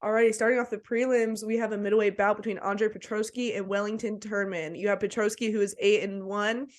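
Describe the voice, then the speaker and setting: A woman co-hosts a sports podcast while getting over a slight cold. Her voice sits at a medium pitch and is recorded close and dry. She breaks down fights casually, with slang and open enthusiasm. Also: fairly even intonation, fairly quick, no room sound. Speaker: podcast co-host.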